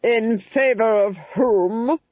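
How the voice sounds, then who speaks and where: Haughty storybook Duchess, acted by a narrator, high-pitched, imperious and cutting. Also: slow pace, darkish tone, no echo, lo-fi sound, natural voice. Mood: icy scorn. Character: imperious, icy, shrieking duchess.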